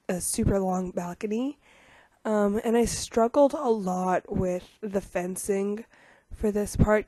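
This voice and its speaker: Rough-voiced woman getting over a cold. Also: mid-range voice, warm tone, no echo. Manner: chatty, with many ums and self-deprecating asides. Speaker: YouTuber narrating a game speed build. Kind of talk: casual voice-over commentary.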